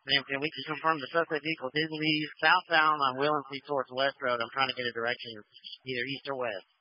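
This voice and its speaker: A man's voice: mid-range voice, little pitch movement, fairly quick, no echo. A man, a police officer, speaking in radio traffic over a police radio. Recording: muffled police scanner radio audio.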